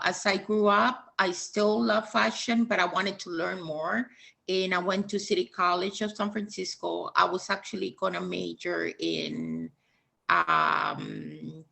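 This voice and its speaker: A woman's voice: mid-range voice, gravelly, bright, forceful tone. A woman from Honduras, a fashion student, telling her personal story with many hesitant fillers.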